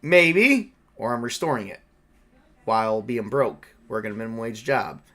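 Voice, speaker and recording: A man's voice: medium pitch, animated, moderate pace, a bit bright. A man improvising as a broke, defensive college teaching assistant in his thirties in a tabletop roleplay.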